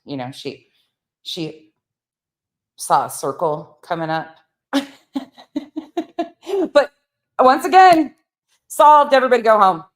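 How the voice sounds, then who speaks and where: A woman's voice: medium pitch, sing-song, takes it slowly, pauses often. A woman hosting reaction commentary, sarcastic and profane, with theatrical, mocking delivery. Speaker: commentary channel host.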